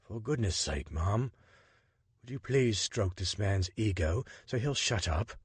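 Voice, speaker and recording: A man playing a portly, grumpy middle-aged gentleman who growls with impatience. The voice is husky and dry, read close in a storybook. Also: on the low side, expressive, unhurried, dry recording, heavily acted. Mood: exasperated.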